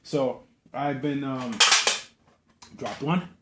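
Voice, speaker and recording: A man gives a casual, unscripted show-and-tell in a medium-pitched voice. He talks in a halting, rambling way, often repeating words as he goes. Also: fairly even intonation, very noisy. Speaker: vlogger.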